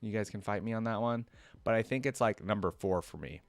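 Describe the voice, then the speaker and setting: A man's voice: lowish voice, brisk, gravelly, no echo, faint music behind. A man hosting a reaction video, commenting casually and informally, thinking aloud as he browses and reads.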